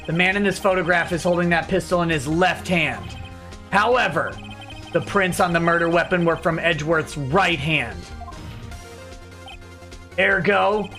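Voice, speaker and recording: A man's voice: sits high, pushed and bright. Man voicing an earnest, anxious young defense attorney. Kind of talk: video game character voicing.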